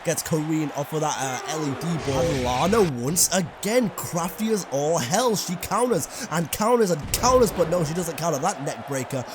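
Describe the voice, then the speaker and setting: Excitable young man calling wrestling play-by-play. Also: on the high side, moderate pace, hardly any pauses, noisy background. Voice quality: slightly raspy. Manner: excitable, bursts into shouts. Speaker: gaming commentator. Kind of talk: play-by-play wrestling game commentary.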